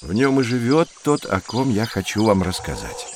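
A man's voice: fairly low pitch, sing-song, normal speed, background noise. A man playing a friendly professor who explains things expressively to children in an educational audio drama. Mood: explaining. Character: friendly male professor guiding curious children on adventures.

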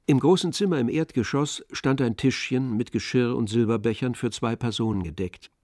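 A man narrating a literary story in a measured, descriptive manner. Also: medium pitch, darkish tone, no room sound, clean studio sound.

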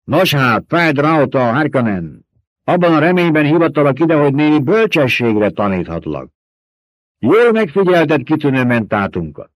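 A man puts on a gluttonous, scheming older baron for an audiobook. The voice rides higher and louder than a plain reading, swinging widely and relishing every taunt. The sound is dry and close, with no room around it. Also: very animated, no room sound, low-quality recording. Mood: lecturing. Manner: taunting, theatrical, booming emphasis. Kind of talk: audiobook character voice.

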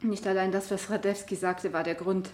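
An actress playing an anguished, betrayed wife on the witness stand in a radio play, emotional, halting and insistent. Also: female voice, mid-range voice, flat delivery, darkish tone, lightly acted. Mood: grave, remembering. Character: anguished, betrayed wife testifying against her husband. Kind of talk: 1970 German radio play acting.

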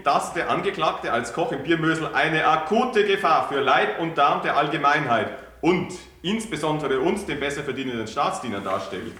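A man's voice: on the high side, pushed and bright. A man playing a smug bigoted prosecutor. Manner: sneering, self-righteous. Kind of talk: 1980s amateur radio play. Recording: roomy echo, faint hiss.

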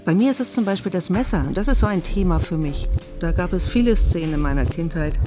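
A woman's voice: on the low side, lively intonation, fast talker, faint music behind, natural voice. A woman playing an earnest, self-absorbed art therapist and patient who lectures insistently in an audio drama. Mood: lecturing, intense. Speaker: actor in a drama. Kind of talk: dialogue acting in an audio drama.

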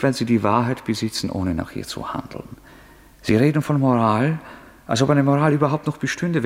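An actor playing a proud, destitute young law student in a German radio play, intense and brooding. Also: male voice, mid-range voice, husky.

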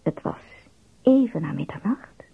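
A man narrating a folk-tale adventure in a radio drama, with a husky, hushed voice. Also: a little high, lively intonation, measured pace, full character voice. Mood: hushed, intimate. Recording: dull lo-fi radio recording.

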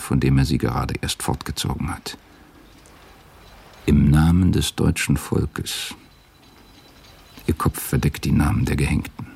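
A man narrating a literary radio drama in a measured, sombre way, with a gravelly, husky voice. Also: rumbling low, dark tone.

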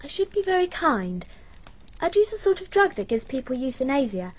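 A woman's voice: sits high, sing-song, moderate pace. An actress playing a precocious, dreamy twelve-year-old girl who speaks in an earnest, matter-of-fact and slightly odd way. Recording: dull lo-fi archive radio, faint hiss.

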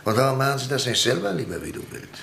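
An actor playing an ironic, proud professor turned politician who talks dryly and briskly in a radio drama. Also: male voice, medium pitch, big pitch swings, gravelly, fairly bright tone, slight background noise.

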